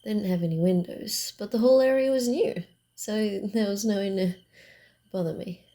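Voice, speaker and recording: A woman voicing a proud, playful vampire wanderer in an ASMR roleplay, halting and teasing, easily flustered. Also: mid-range voice, warm tone, close to an everyday voice. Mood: casual, teasing. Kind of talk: ASMR roleplay, acted one-sided dialogue.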